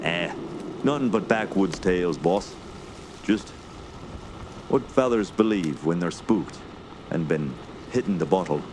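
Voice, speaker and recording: Low male voice acted, backwoods guide, superstitious, hesitant muttering, radio drama, noisy outdoor ambience. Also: lively intonation, unhurried, pauses often, a little mellow. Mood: uneasy, ominous. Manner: rustic dialect, hesitant muttering. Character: uneasy backwoods Canadian guide, superstitious, evasive.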